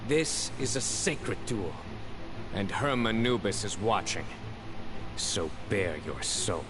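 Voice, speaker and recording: An actor playing a stern, stoic young warrior in a video game, speaking in terse, grave, commanding lines over music. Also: male voice, fairly low pitch, big pitch swings, slow pace. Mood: grave, challenging. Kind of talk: dramatic video game dialogue.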